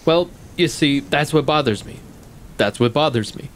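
An actor playing a sceptical, composed confidant with formal, measured questioning in a stage play. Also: male voice, fairly high pitch, animated, slight background noise, natural voice. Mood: sceptical, reasoning. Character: sceptical, probing, morally composed male confidant.

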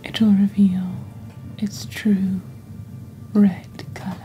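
Woman playing a slow, whispering witch saleswoman. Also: medium pitch, flat delivery, highs cut off, soft background music, close to an everyday voice. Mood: ominous, calm. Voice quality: soft, whispery, breathy. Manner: slow, deliberate, measured sales patter. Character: witch shop host peddling dark potions and poisons. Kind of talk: soft-spoken ASMR shopping-channel presentation.

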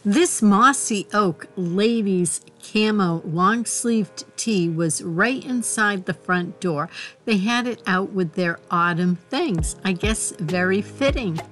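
Woman in her forties, shopping vlog host, chatty and upbeat, slow easy pace, light music underneath. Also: medium pitch, fairly bright tone. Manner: chatty and enthusiastic, talking to viewers. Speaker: shopping vlogger. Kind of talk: casual shop-with-me narration.

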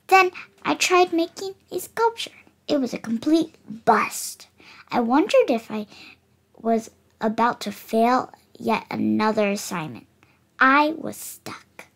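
A woman's voice: on the high side, creaky. A girl of about eight reading a picture book aloud, carefully and expressively, with small stumbles. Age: child, about 8. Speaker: child reader of picture books. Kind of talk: storybook read-aloud.

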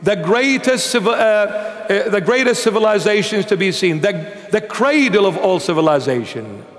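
High-voiced bishop preaching in a church. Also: male voice, moderate pace. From Iraq. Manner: emphatic, gesturing, animated preaching. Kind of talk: sermon with Bible reading. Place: large church sanctuary, seated at altar. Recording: long church reverb.

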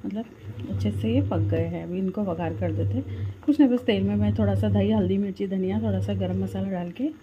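A woman's voice: mid-range voice, fairly quick, muffled recording, background noise. A woman from Rajasthan, India, talking a viewer through a home recipe step by step in her kitchen, recorded on a phone held close. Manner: homely, explains step by step. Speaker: home cooking vlogger. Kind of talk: casual cooking walkthrough.

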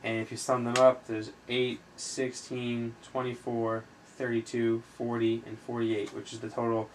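A man giving an informal chemistry tutorial, explaining step by step in a halting way as he thinks aloud while writing. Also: medium pitch, completely flat delivery. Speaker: chemistry tutor, video host. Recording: noisy amateur recording with room ambience.

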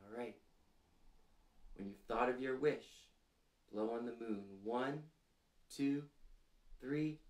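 A man in his thirties hosting a children's storytime, reading aloud and prompting listeners in a gentle, deliberate, clearly phrased way, seated in a small room. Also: medium pitch. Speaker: storytime host reading picture books to children. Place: small furnished room indoors, seated on a couch. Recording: roomy indoor sound.

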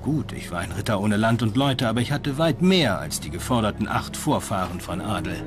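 An actor playing a proud, landless medieval knight who recounts his adventures in a deliberate, solemn documentary drama style. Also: lowish voice, animated, natural voice. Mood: solemn, reminiscing. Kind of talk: dramatised first-person storytelling. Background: loud music.

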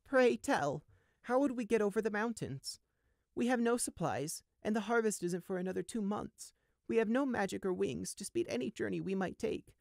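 A man's voice: high voice, animated, moderate pace, creaky, no room sound, clean studio sound, pitched above the performer's own voice. A man doing a quavering old ewe elder. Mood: wary, pleading. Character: stubborn old female sheep elder. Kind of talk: audiobook character voice.

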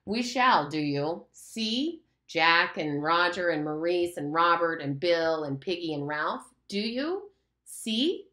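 A woman's voice: fairly low pitch, expressive, slow, bright, forceful tone, heavily acted. A woman reading aloud and voicing a mocking, menacing pig's head that speaks as a beast, in a room with a noticeable echo. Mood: threatening. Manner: taunting, condescending, menacing. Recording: roomy echo.

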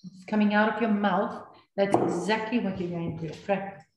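A woman's voice: medium pitch, steady tone, normal speed, darkish tone. Woman chiming in, roomy echo. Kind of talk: casual discussion. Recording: roomy echo with faint noise.

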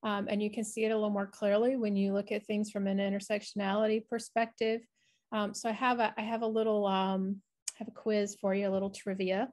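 A woman's voice: medium pitch, little pitch movement, a little fast, a little mellow. A middle-aged woman, a researcher explaining her work in an online presentation over a video call. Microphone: video call, webcam framing.

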